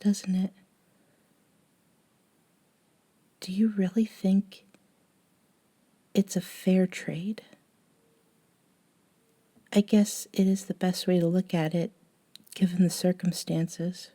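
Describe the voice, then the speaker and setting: A woman acting as a gentle, caring young woman in an intimate ASMR audio drama. Her voice is dark and mellow, and she talks slowly and hesitantly. She often softens into a breathy whisper, with a tender, slightly wistful temper. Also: medium pitch. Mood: gentle curiosity. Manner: soft, hesitant, often sighing and dropping to a whisper.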